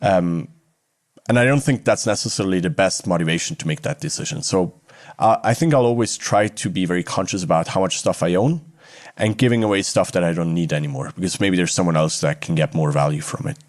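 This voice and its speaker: A man's voice: sits low, brisk, dry recording, clean studio sound. A man from Switzerland, speaking reflectively as an interview guest.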